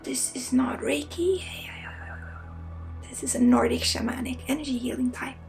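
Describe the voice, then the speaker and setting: A Swedish woman with a Swedish accent leading an ASMR guided energy healing, speaking softly and often slipping into whispers. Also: sits high, fairly even intonation, normal speed, gravelly, faint music behind. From Sweden. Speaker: spiritual healer and ASMR host.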